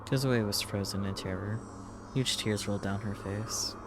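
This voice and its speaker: A man's voice: on the low side, steady tone, normal speed, background noise. A man reading a visual novel aloud on a stream as dramatic story narration.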